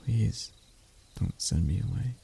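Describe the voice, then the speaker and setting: A man playing a lovesick young royal heir in an intimate romance roleplay, stammering and hesitant, with a husky, breathy voice. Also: lowish voice, lively intonation, takes it slowly, dark tone, slight background noise, exaggerated voice. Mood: pleading. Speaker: voice actor in audio roleplay.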